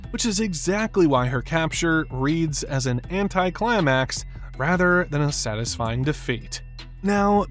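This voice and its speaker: A man narrating a scripted film analysis in an emphatic, opinionated manner. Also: on the high side. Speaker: video essay narrator.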